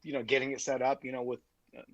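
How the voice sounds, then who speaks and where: A man's voice: medium pitch, level tone, quick pace, a bit bright, dry recording, highs cut off. A man being interviewed as a podcast guest, talking casually and rambling with many fillers and restarts. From Utah, USA.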